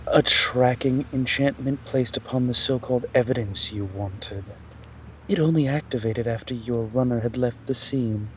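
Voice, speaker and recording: A man playing a haughty, calculating young prince in a fantasy audio drama, speaking in a pompous, deliberate, menacing way. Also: medium pitch, a little hiss or hum, close to an everyday voice. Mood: cold, condescending. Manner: pompous, deliberate, drawn-out delivery. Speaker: voice actor.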